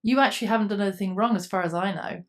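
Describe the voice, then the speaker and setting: A woman's voice: mid-range voice, racing. A woman hosting an interview, speaking in a sympathetic, emphatic way. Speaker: interviewer, host. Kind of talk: interview conversation.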